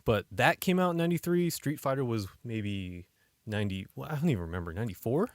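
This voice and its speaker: A man's voice: mid-range voice, expressive, fast, dry recording. A man co-hosting a podcast in casual discussion, questioning and debating his points. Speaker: podcast co-host.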